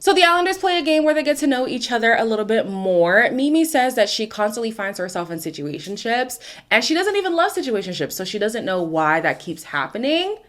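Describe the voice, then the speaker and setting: A young woman from Canada, whose first language is Ndebele, recaps a dating show in a chatty, opinionated manner. Her voice moves up and down a lot as she talks, and her pitch sits in the middle of the usual range. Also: a bit bright. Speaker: recap host. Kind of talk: casual reality-show recap.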